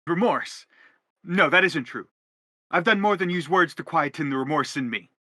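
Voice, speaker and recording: A man's voice: fairly high pitch, normal speed, pushed and bright, no room sound, studio quality. A man playing an earnest, self-justifying father in a stage drama, speaking in an emphatic, declamatory way. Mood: defensive. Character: earnest, self-justifying father pleading his family's case. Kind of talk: theatrical stage play acting.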